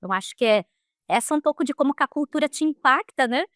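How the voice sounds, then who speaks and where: A Brazilian woman of middle age, a guest speaking in a casual interview, lively and quick to laugh, often ending phrases with "né?". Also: sits high, dry recording, clean studio sound. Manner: lively, animated, quick to laugh, frequent "né?" tags. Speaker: guest, HR leader.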